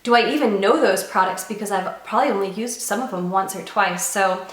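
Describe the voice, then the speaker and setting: A woman's voice: medium pitch, brisk, fairly bright tone, roomy sound. A young woman vlogging casually to camera, nasal from a cold, chatty and earnest. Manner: chatty and earnest, with light laughs. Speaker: beauty vlogger.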